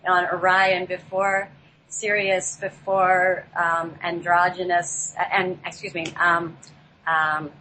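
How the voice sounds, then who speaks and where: Halting woman, bright voice, noisy recording. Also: lowish voice, little pitch movement. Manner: halting, hesitant, searching for words. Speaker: guest presenter on a talk show. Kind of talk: unscripted talk introducing a play.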